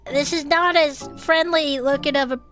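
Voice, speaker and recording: A woman playing an enthusiastic, toothless turtle-folk cryptid investigator who muses gently and is eager to believe, in conversational audio fiction. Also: high-pitched, steady tone, normal speed, soft background music. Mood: musing, uneasy.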